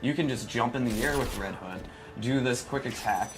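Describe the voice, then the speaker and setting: A man's voice: medium pitch, normal speed, over music. A man giving chatty, informal live commentary as he demonstrates a fighting game character, with a playful self-deprecating streak. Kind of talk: live gameplay commentary, casual explaining.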